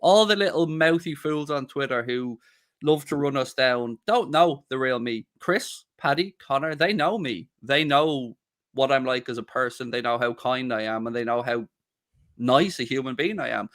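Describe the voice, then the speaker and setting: Man, young adult, podcast host, casual chat, blunt and defiant, swears a lot, mid pitch. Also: moderate pace, a bit bright.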